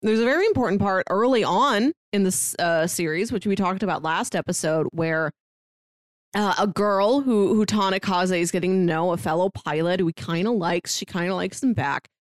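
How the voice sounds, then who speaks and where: A woman's voice: fairly low pitch, normal speed, dry recording. Woman podcast co-host, dry close sound. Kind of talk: casual conversational book review.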